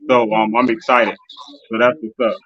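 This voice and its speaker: A man's voice: lowish voice, flat delivery, moderate pace, muffled recording. A man in his forties hosting an interview show, closing it out in a loose, rambling way full of "you know" and "um.". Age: 40s. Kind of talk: casual live-stream show closing.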